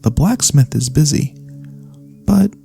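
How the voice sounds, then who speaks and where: A man playing a gentle elf cleric in a soft-spoken ASMR fantasy roleplay, speaking in a soothing, courteous, slightly formal way. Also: fairly high pitch, expressive, slow, vocal fry, faint music behind, exaggerated voice. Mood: calm, kindly. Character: gentle, caring male elf cleric healer, pacifist. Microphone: close studio USB microphone.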